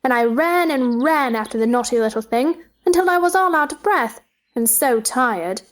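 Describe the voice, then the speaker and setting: A curious little girl as a woman acts her out chatters away in a high voice. She is eager, imaginative and childlike, made for a storybook play. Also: animated, normal speed. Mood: wondering, eager.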